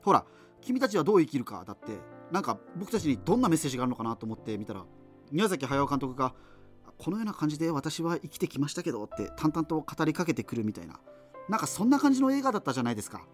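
A man's voice: on the high side, sing-song, fast talker, faint music behind. A man playing a geeky, rambling radio host facing doomsday in a radio drama, talking hesitantly and digressively. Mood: resigned, musing. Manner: hesitant, digressive, self-interrupting. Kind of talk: radio drama monologue, conversational.

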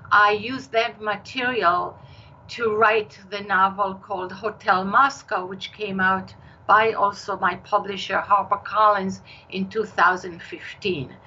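Older israeli lady novelist, slow measured talk, bit of faint hiss behind. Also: medium pitch, fairly even intonation, sharp tone, lo-fi sound. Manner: slow, measured storytelling with occasional restarts. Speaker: novelist guest. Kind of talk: interview conversation.

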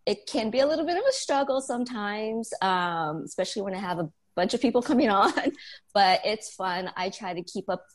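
A woman's voice: medium pitch, animated, a little fast. A woman from Hawaii, a guest on a casual panel, talking in a friendly, earnest way with some hesitation.

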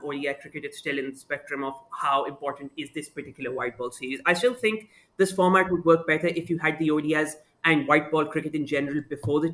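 A man's voice: on the high side, a bit bright. A man on a podcast as a guest sports writer, speaking articulately with some restarts and self-deprecating humor. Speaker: cricket sub-editor guest. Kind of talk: casual podcast chat.

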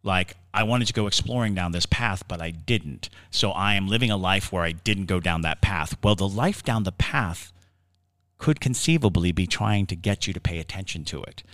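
A man with a deep, low voice talks as a podcast co-host. He explains ideas in a musing, conversational way, halting and repeating words as he works through a thought. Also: a bit bright. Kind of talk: conversational paranormal Q&A discussion.

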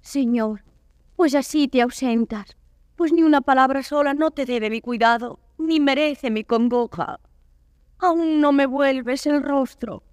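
A high-voiced, impassioned wronged noblewoman. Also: measured pace, no echo, clean studio sound. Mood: hurt, distressed. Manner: declamatory, impassioned. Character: wronged young noblewoman, proud, pleading for her honor. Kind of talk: classical Spanish verse stage acting.

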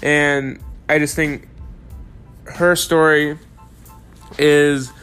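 A man's voice: a little high, little pitch movement, extremely slow, a bit bright, clean tone, soft background music. A young man hosting a podcast, talking enthusiastically with plenty of fillers as he introduces and interviews a guest. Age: young adult. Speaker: podcast host.

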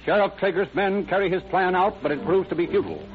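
An actor playing the grave, dramatic narrator of an old-time radio crime story, in scripted narration. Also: male voice, high-pitched, fast, lightly acted. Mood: ominous, dramatic. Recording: tinny old radio, loud music.

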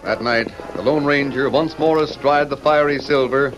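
A man's voice: medium pitch, steady tone, moderate pace, close to an everyday voice, rough recording. A man narrating a western adventure in dramatic old-time radio style. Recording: old radio recording over music.

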